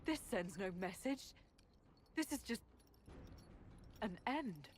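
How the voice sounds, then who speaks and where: An actress playing a guilt-ridden, resigned senior warrior in a fantasy game, speaking solemnly and bleakly. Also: female voice, medium pitch, very animated, slow pace, slight background noise. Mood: anguished resignation. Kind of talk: dramatic video game dialogue.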